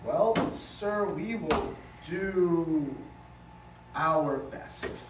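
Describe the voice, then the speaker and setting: A man's voice: on the high side, very slow. A man reading the part of an anxious, flippant and bumbling lawyer in an amateur script table read. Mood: flippant, uneasy. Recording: distant, echoey room with faint noise.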